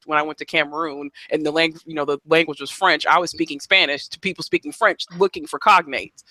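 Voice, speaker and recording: A man's voice: on the high side, bright, forceful tone, dry recording, rough recording. A man hosting a live panel discussion, talking conversationally with frequent restarts and fillers. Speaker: host.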